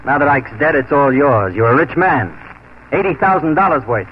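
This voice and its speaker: Cold vengeful western marshal, a man plays him, firm and clipped, middle pitch, muffled old radio sound. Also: lively intonation, moderate pace, slight background noise, lightly acted. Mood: accusing. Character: grim vengeful frontier marshal, steely. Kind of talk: 1940s radio acting.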